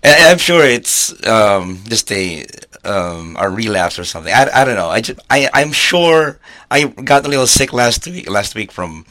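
A man co-hosting a casual podcast chat, hoarse from losing his voice, talking haltingly and laughing often. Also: mid-range voice, big pitch swings, bright, forceful tone. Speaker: podcast co-host.

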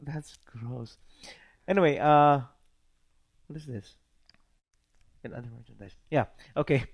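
A man's voice: mid-range voice, unhurried, muffled recording. A man co-hosting a casual podcast, laughing often and rambling through restarts. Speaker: podcast co-host. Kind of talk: casual chat.

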